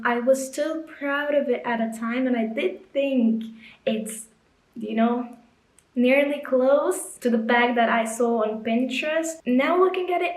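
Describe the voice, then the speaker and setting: A young woman with a Lithuanian accent, casually chatting through a crafting vlog in a relaxed, rambling way. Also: high-pitched, reverberant, poor audio. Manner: chatty, relaxed, with fillers like "uh" and "you know". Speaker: crochet and knitting creator. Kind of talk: casual vlog chat while crocheting.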